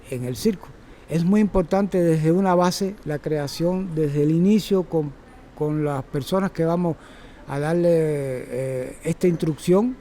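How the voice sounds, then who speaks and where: Mellow Cuban man lecturing on circus. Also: on the high side, a little hiss or hum. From Cuba. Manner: rambling, self-correcting, chatty. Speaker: circus instructor. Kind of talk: informal workshop lecture.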